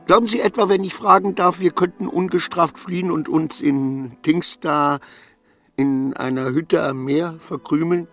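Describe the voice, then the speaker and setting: A man's voice: fairly high pitch, a little slow, fairly bright tone, soft background music. An actor playing a distraught, obsequious man losing his grip in a radio play, rambling and questioning himself in formal, old-fashioned phrases. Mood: agitated, indignant.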